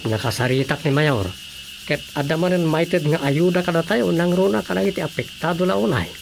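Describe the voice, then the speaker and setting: A man's voice: fairly high pitch, fairly quick, vocal fry, music in the background. A man with an Ilocano accent acting a patient village council officer in an Ilocano radio drama comedy. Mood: patient, explaining.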